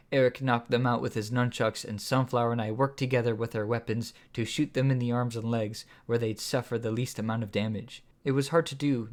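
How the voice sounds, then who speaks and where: Man narrating an audiobook story. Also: medium pitch. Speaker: author reading own audiobook.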